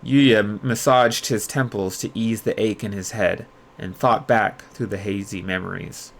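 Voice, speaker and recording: A man hosting a livestream readalong, moving between expressive storybook narration and casual chat with viewers. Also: fairly low pitch, a little slow, gravelly. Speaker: streamer host reading aloud.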